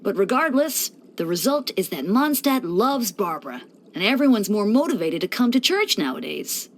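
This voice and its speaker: Woman voicing a lively, mischievous young male deacon. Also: medium pitch, normal speed, slight background noise, natural voice. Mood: casual, wry. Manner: breezy, teasing, theatrical, with mock solemnity. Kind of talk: scripted video game character voice lines.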